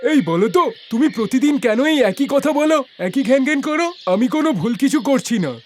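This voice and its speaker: A man's voice: extremely high pitch, lively intonation, brisk, slight background noise. A man voicing a stubborn, sly street food seller in a cartoon story, whiny and self-justifying. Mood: irritated, defensive.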